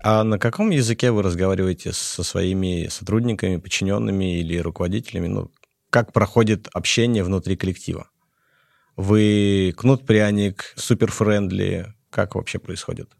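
Male interviewer for a podcast. Deep, fry-heavy voice, casual questioning, very dry sound. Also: steady tone, normal speed, darkish tone, no echo. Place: podcast studio.

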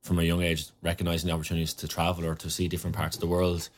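A man's voice: deep voice, monotone, racing. A man interviewing a guest, asking follow-up questions and nudging him along with short acknowledgements. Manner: encouraging, frequent backchannel. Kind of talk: conversational interview.

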